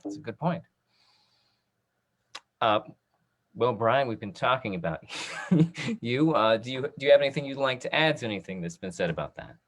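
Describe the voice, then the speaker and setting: A man moderating a panel discussion, speaking in hesitant, halting phrases. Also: medium pitch, expressive, a bit bright. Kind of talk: hosting a talk-back discussion. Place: virtual video call.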